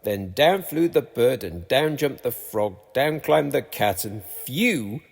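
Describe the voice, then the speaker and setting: A man reading a rhyming children's story aloud as storyteller, with dramatic, measured delivery. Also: mid-range voice, expressive, gravelly, husky. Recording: long hall-like reverb.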